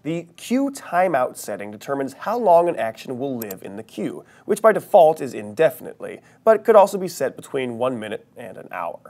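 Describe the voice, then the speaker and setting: A man in his thirties presents a scripted training tutorial. His mid-pitched voice moves up and down in a lively way, and he stresses the key terms as he instructs.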